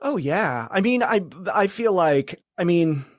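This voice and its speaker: A man's voice: sits high, expressive, dry recording. Reflective man in a close, dry interview. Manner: halting, reflective, many false starts. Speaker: podcast guest, media founder and journalist. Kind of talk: casual interview conversation.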